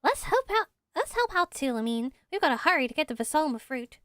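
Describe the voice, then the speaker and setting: A woman voicing a small childlike floating companion from a video game, excitable, bossy and easily exasperated. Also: high-pitched, brisk, no room sound. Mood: eager. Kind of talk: amateur video game voice acting.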